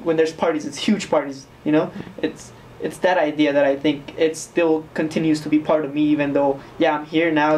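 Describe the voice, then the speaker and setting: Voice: mid-range voice, a little mellow, distant mic, slight background noise, rough recording. A young adult from Mexico, answering interview questions in a reflective, rambling way with many fillers.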